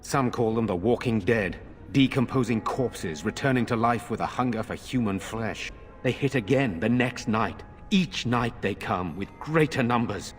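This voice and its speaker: An actor playing a middle-aged noble lord in a game, speaking in a measured, formal and earnest way. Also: male voice, mid-range voice, soft background music, close to an everyday voice. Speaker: village lord. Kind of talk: game voice acting.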